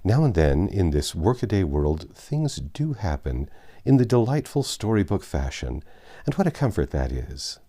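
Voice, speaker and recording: A man narrating a classic novel aloud in a measured, expressive storytelling manner. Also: sits low. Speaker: audiobook narrator.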